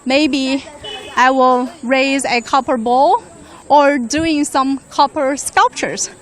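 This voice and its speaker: A woman's voice: high voice, slow pace. A young woman hosting a live outdoor walk-and-talk in a casual, chatty style. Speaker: live-stream host, reporter. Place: outdoors on a university campus. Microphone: handheld microphone.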